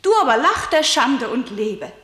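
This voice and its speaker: An actress playing a defiant young princess fiercely loyal to her dead brother, speaking classical verse intensely and dropping into hushed whispers. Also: high voice, very animated, moderate pace. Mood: resolute. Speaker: lead actor in a radio play. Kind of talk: classical verse radio drama. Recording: roomy studio echo.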